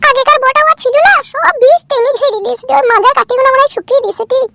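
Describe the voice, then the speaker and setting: Tiny-voiced, coy young village bride. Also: male voice, rapid-fire, creaky, very noisy. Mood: coy, complaining. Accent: rural West Bengal. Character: young newlywed village wife, coy, complaining. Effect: pitched-up voice. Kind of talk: comic cartoon dialogue. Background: music.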